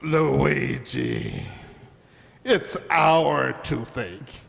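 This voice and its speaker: A man's voice: mid-range voice, huge pitch swings, very slow, creaky, a bit bright, big-room sound, full character voice. A man playing a pushy, scheming Italian immigrant restaurant owner in an old-time radio comedy, exclaiming and wheedling in broken English with an Italian accent. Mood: persuasive.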